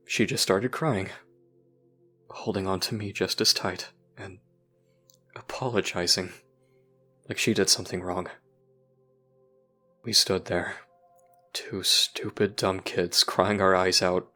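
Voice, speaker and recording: An actor playing a brooding, guilt-ridden young person recounting painful memories in a somber, halting audio drama monologue. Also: male voice, deep voice, sharp tone, exaggerated voice.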